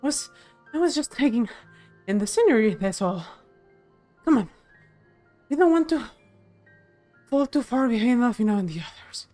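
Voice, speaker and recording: A woman voicing a thoughtful, loyal young adventuring companion in a game, with expressive, reflective delivery. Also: a little high, normal speed, pauses often, faint music behind, voice pushed up. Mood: flustered. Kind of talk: voicing game cutscene dialogue.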